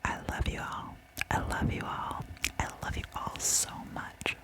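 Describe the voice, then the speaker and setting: A woman whispering true crime ASMR as a host, breathy and gentle, speaking informally to her listeners. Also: a little slow.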